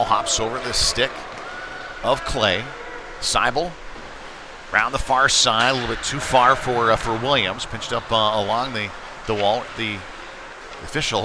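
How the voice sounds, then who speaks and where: A man with a mid-pitched voice calls a live hockey game from the rink. He talks casually, with hesitant, folksy asides mixed into the play-by-play. Clear venue noise runs behind his voice. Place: ice rink, live stream broadcast.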